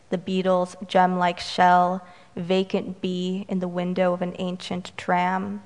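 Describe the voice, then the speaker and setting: A lower-pitched woman poet whose first language is Romanian reads her work at an unhurried pace, then shifts to quick, dry asides. Her voice carries the long echo of a large hall. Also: creaky. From Romanian-American. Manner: measured reading, brisk and wry between poems. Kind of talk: poetry reading with commentary. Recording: reverberant hall.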